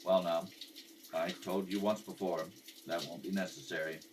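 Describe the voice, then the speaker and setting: A man playing a calm, patient police detective in an old-style radio drama, matter-of-fact and deadpan. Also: deep voice, moderate pace, noisy background, pitched below the performer's own voice, natural voice. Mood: calm, probing. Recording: distant mic in a home kitchen.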